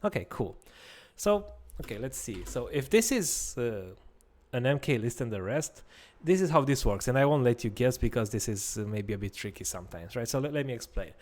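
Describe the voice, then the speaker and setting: A man's voice: medium pitch, animated, moderate pace, few pauses, a little mellow. A man in his thirties with a Romanian accent, patiently explaining code step by step in a live-streamed lesson and often asking "right?". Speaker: teacher. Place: small room at a desk.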